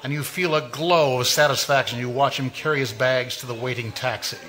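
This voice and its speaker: A man playing a sinister, all-knowing narrator in a 1940s-style radio mystery, taunting the listener in a measured, ominous way. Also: mid-range voice, fairly even intonation, sharp tone, close to an everyday voice.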